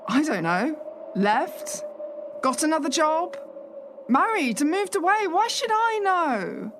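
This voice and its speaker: A woman's voice: high voice, big pitch swings, slow pace, background noise, full character voice. A woman playing a skeptical, sardonic assistant hotel manager from London in a radio play, sometimes hesitant when flustered. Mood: defensive. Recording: music behind the voice.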